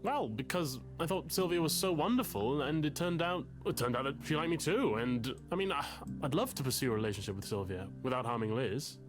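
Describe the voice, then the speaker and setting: A male actor voices an anxious, self-pitying intellectual man in a narrative game. His pitch moves in lively swings as he halts, interrupts himself and spins wordy confessions. Music plays clearly behind him. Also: a little fast, few pauses, natural voice. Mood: anguished, self-pitying. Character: anxious, bookish, self-pitying man agonizing over an affair. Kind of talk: confessional dramatic game dialogue.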